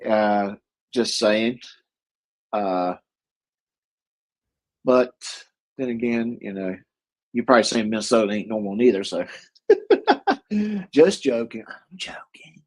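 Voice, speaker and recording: A man with a husky voice, co-hosting a casual chat about movies, rambling with lots of fillers and restarts. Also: fairly low pitch, takes it slowly, pauses often. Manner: rambling, many fillers and restarts, chuckles often. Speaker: co-host.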